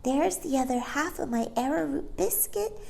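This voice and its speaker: A woman's voice: sits high, expressive, moderate pace, gravelly, pitched above the performer's own voice, heavily acted. A woman voicing a sweet, adoring baby girl in a storybook reading. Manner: soft and adoring.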